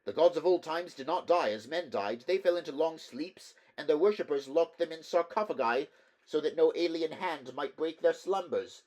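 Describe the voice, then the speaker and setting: A man's voice: on the high side, animated, moderate pace, a little mellow, pitched above the performer's own voice, close to an everyday voice, poor audio. A man voicing a frightened male temple clerk whose voice shrills and cracks with panic. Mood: fearful.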